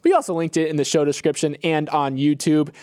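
Higher-pitched male podcast co-host, dry studio sound. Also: fairly even intonation, a little fast, darkish tone, dry recording. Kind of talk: casual conversational podcast commentary.

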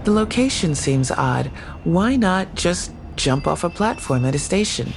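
Creaky-voiced, puzzled police investigator. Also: female voice, on the low side, big pitch swings, unhurried, slight background noise, full character voice.

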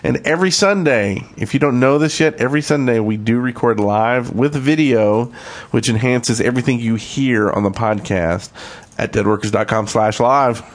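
A man's voice: medium pitch, moderate pace. A man co-hosting a gaming podcast, talking casually. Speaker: podcast co-host.

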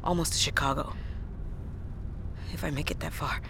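An actress playing a battered, sick woman truck driver, talking in a weary, halting, blunt way in a naturalistic audio drama. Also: sits low, steady tone, background noise. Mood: weary, defiant. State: sick, worn out.

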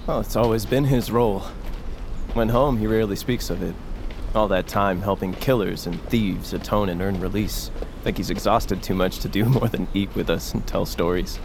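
A man's voice: on the low side, steady tone, normal speed, lightly acted. A man acting in an audio drama as a wounded, guarded son set on vengeance, speaking tersely and hesitantly. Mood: reflective. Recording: clear outdoor ambience noise behind.

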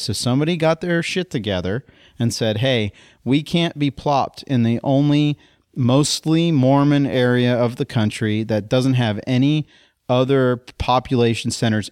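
Chatty man host, explains in long runs, mid pitch, dry close sound. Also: dry recording. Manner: animated explainer, talks in long runs with "right?". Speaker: podcast host. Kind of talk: casual chat.

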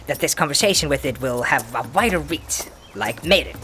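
A wary male fantasy companion for an audio drama. The voice is low but swings widely in pitch, with terse, earnest, formal phrasing. A little noise is in the background. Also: lively intonation, brisk, a bit bright. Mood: wary, blunt.

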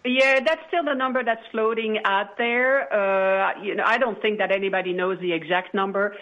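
A woman's voice: medium pitch, moderate pace. A woman speaking as an interview guest in a conversational, assertive and emphatic way. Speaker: podcast producer, interview guest. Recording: dull, lo-fi radio-like audio.

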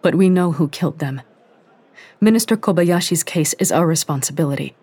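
A narrator playing a calm, grave female investigator. She speaks slowly and firmly, leaning on each word, in a soft, very breathy voice. She sounds steady where others panic. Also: medium pitch, lightly acted. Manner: measured, grave, deliberate.

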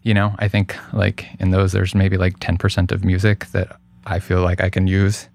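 A man's voice: deep voice, completely flat delivery, moderate pace, gravelly, a little mellow, no room sound. A man as an interview guest, explaining his production work casually and thoughtfully, often repeating words as he goes.

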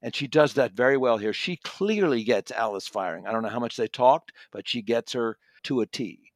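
A man's voice: mid-range voice, expressive, normal speed, dry recording. Male reviewer for book podcast discussion, middle register, close dry sound.

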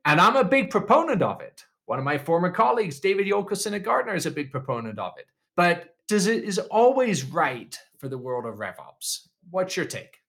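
A man's voice: high voice, very animated, a little fast, gravelly, few pauses, fairly bright tone. A man hosting a business podcast, introducing guests and asking questions with upbeat enthusiasm. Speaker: podcast host. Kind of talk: podcast interview.

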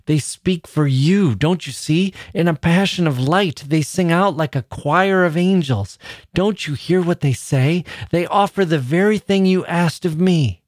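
A man voicing a frail dying man who speaks softly and fervently in a storybook reading. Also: on the high side, unhurried, no echo, studio quality, pitched above the performer's own voice, lightly acted. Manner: soft, rapt and pleading.

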